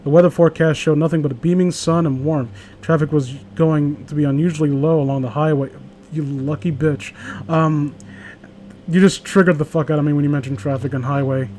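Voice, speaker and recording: A man's voice: a little high, fairly even intonation, a little fast, a little mellow, a little hiss or hum. A man reading a story aloud casually with a friend, joking, swearing and breaking off for sarcastic asides. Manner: sarcastic, profane, interrupts own reading with asides.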